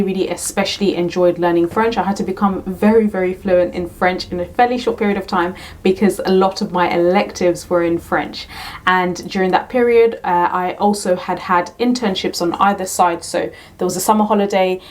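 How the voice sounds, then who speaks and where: Kenyan-born London woman chatting to camera. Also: mid-range voice. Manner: warm, repeats "really, really" and "to be honest". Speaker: teacher and YouTube tutor. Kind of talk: casual talk to camera answering questions.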